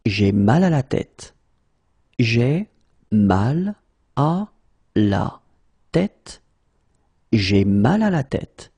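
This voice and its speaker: A man teaching French in a pronunciation drill, slowly repeating each phrase and then saying it word by word. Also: mid-range voice, sing-song, warm tone, slightly rough, no room sound.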